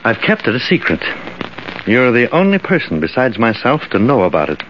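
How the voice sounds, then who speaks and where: Older scientist, earnest and theatrical, lively intonation, dull vintage radio sound. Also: male voice, medium pitch, slight background noise. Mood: confiding. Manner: deliberate, theatrical, earnest explaining. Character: older male scientist professor, earnest, visionary, fatherly. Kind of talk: vintage American radio drama acting.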